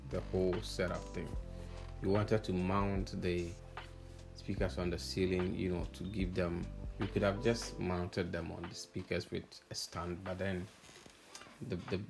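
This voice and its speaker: A man's voice: deep voice, level tone, unhurried, creaky. A man giving a casual, hesitant voice-over walkthrough as an audio installer, thinking aloud as he explains. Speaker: audio installer narrating own project video. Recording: music playing behind the voice. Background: background music.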